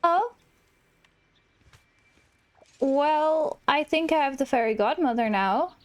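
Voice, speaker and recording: A woman hosting a gaming video, chatting casually and excitably as she plays and often exclaiming. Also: high voice, takes it slowly, lo-fi sound. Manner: excitable, exclamatory, chuckles at herself. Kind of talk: casual let's-play chat, reading game dialogue aloud.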